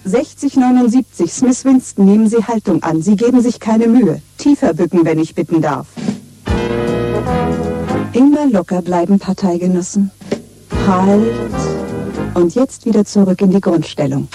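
A woman's voice: mid-range voice, expressive, moderate pace, long pauses, close to an everyday voice. A woman playing a strict, official telescreen exercise instructor in a 1970s dystopian radio play. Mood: stern. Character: strict, brisk female telescreen gymnastics instructor, thirty-nine. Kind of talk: 1970s German radio play acting, barked exercise commands. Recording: loud music under the voice. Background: loud exercise music.

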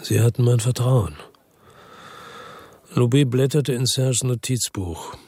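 An actor playing a world-weary, bitter crime investigator who narrates and talks tersely in a radio drama, with a gravelly, husky, deadpan voice. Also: male voice, medium pitch, takes it slowly, no echo, studio quality. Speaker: first-person narrator and lead character in a radio drama.

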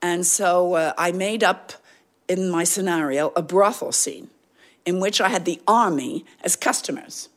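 Low-voiced woman recalling her artistic work. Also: a little slow. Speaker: artist and filmmaker. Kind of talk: reflective interview recollection.

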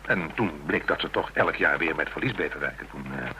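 A man's voice: rumbling low, a little fast, pushed and bright, slight background noise, full character voice. An actor playing a flustered, well-meaning man in a radio play, loyal, somewhat formal and hesitant, stammering as he talks. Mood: hesitant, confiding.

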